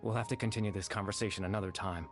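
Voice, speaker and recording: A man playing a gentle, courteous, melancholy figure in a video game, speaking politely and a little hesitantly. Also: lowish voice, fairly even intonation, very fast, faint music behind, close to an everyday voice. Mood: resigned.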